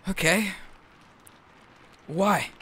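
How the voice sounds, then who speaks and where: Bright, higher-voiced teasing young man acted. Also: drawn-out words, slightly rough, full character voice. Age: young adult. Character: cocky, teasing young man, a school dropout. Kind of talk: scripted audio drama.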